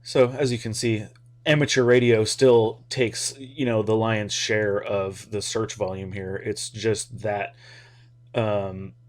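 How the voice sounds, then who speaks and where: An American man with a fairly low voice talks casually through his points as a hobby video host. His delivery is flat in pitch, informal and a little hesitant, wandering along as he thinks aloud. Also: slow. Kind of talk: casual, rambling explainer talk.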